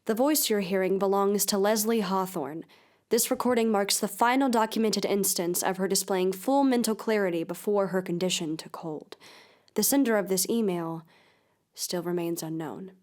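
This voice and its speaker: A woman's voice: medium pitch, darkish tone, close to an everyday voice. Actress as a determined woman investigative journalist. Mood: grave narration. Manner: deliberate, earnest. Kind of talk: audio drama, scripted investigative podcast narration.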